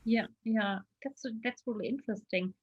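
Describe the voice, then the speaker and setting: A woman's voice: medium pitch, moderate pace, husky, no echo, lo-fi sound. A woman with a German accent, a non-native speaker interviewing casually and searching for her words.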